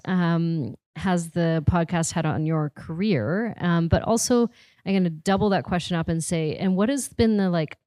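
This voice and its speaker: A woman hosting a live panel. Her voice is lowish and mellow, and her questions stack up loosely with plenty of ums. It is recorded close and dry. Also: no room sound. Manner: chatty, stacks questions with fillers. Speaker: podcast host and moderator.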